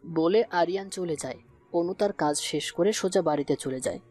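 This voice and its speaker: A man's voice: a little high, moderate pace, darkish tone. A man reading scripted narration for a story. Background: music.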